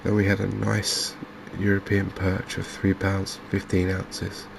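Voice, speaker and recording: A man hosts a game in a soft ASMR style. His voice is low and very monotone, with little rise or fall. He talks haltingly and with gentle understatement, over noticeable background noise. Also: vocal fry. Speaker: gaming channel host. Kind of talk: softly spoken ASMR game commentary.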